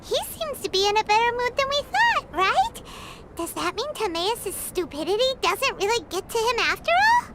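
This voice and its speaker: A woman voicing a tiny, chatty, excitable fairy companion in a video game, with a squeaky childlike voice and an exclamatory manner. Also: moderate pace, heavy background noise. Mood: musing. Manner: exclamatory, refers to self in third person. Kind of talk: animated video game voice acting.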